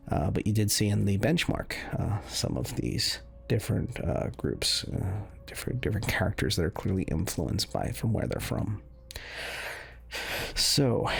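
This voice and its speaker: A man giving casual game walkthrough commentary in a halting, rambling way, with a gravelly, husky voice. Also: lowish voice, a little mellow. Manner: halting, rambling, hedging delivery. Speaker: gaming video host.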